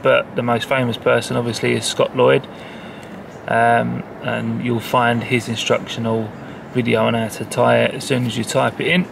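A man talking casually about fishing in a relaxed, chatty way, outdoors by a lake. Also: mid-range voice, gravelly. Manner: relaxed, chatty, with fillers and laughs. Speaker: angler vlogger. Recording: noisy outdoor background.

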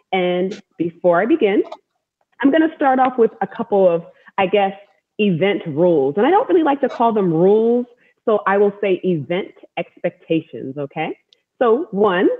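An American woman speaking encouragingly as host to an online group, repeating words for emphasis, on a video call. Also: medium pitch. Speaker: host, storytelling athlete. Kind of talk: welcoming talk to an online group. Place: room at home. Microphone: wireless earbud on video call. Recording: narrow-band video call audio.

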